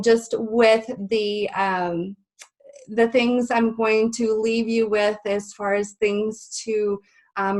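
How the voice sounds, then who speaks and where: Slow-talking woman with a stuffy nose. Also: mid-range voice. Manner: friendly, chatty, many ums. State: stuffy, a little nasal. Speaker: webinar host. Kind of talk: live webinar presentation. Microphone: video call.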